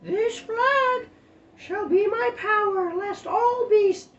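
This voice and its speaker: Woman doing a squeaky pompous squirrel king. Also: slow pace. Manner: grandiose, declaiming. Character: pompous male squirrel king giving a speech. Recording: echoey room, dull lo-fi sound.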